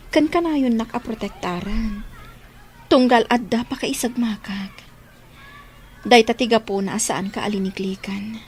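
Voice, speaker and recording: Woman doing a kind young ilocano college girl, radio drama, higher voice, faint outdoor hiss. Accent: Ilocano Filipino. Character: kind young Filipino student rejecting friend's love. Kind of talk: audio drama dialogue. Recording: faint ambient background noise.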